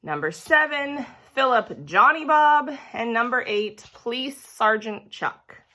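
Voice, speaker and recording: A woman voicing a weary, patient male schoolteacher in a storybook, measured, stern but kindly and gently exasperated. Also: a little high, expressive, voice pushed up, heavily acted. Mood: deliberate reading.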